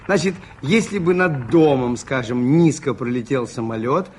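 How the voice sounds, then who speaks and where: A male actor playing a mild, shrewd priest-detective in a radio drama, speaking with courteous, musing hesitations in a creaky voice. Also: mid-range voice, normal speed, a little mellow, slight background noise, lightly acted. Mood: musing, probing.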